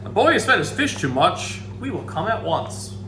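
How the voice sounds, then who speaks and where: A man voicing an urgent, commanding fireman from a storybook, calling out loudly. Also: high-pitched, sing-song, moderate pace, voice pushed up. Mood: alarmed. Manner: loud, commanding. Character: urgent, shouting fireman rushing to help. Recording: echoey room, background hum.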